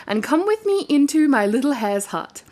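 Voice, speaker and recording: A small male hare from a bedtime storybook, played by a woman, insistent and eager for a bride. The voice sits high and urges in a repeating sing-song. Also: big pitch swings, moderate pace, a little mellow. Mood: coaxing. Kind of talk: storybook character read aloud.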